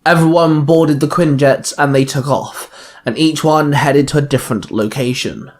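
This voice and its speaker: A man's voice: fairly high pitch, normal speed. Man narrating a fan fiction story. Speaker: podcast narrator.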